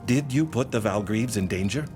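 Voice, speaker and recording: An actor in an audio drama playing a concerned, proud senior scholar and mentor who questions insistently. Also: male voice, on the low side, normal speed, natural voice. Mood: wary, insistent. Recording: music under the voice.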